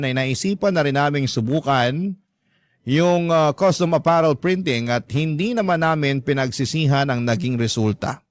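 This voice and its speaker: A man's voice: medium pitch, no room sound. A man with a Filipino accent narrating a scripted first-person story for a radio drama.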